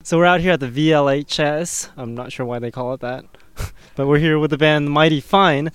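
A man hosting a punk rock interview show, hoarse after staying up all night, laid-back and laughing often. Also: a little high, normal speed, fairly bright tone, no echo. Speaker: host, interviewer. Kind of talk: casual podcast interview.

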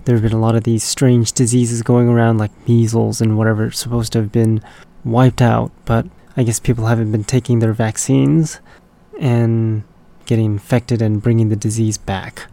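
Male DJ, raspy and congested, gravelly fry, mellow tone, casual punk radio show talk. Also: medium pitch, level tone, normal speed. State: congested from a lingering cold.

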